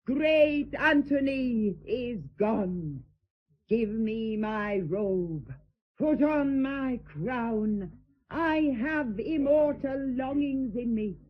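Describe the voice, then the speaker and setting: Radio drama: flamboyant society mistress, husky, highly animated, earthy and grand, slightly lo-fi sound. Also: female voice, exaggerated voice. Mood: grandly tragic. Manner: grand declaiming, arch, drawn-out delivery. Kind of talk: stage-style radio drama acting.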